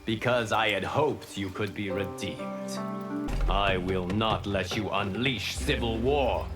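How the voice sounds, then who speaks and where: Calm, solemn god of fire. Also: male voice, on the low side, music in the background. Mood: solemn, firm. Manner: measured, formal, solemn. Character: calm, wise male fire god guarding the realms. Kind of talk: video game fighter dialogue.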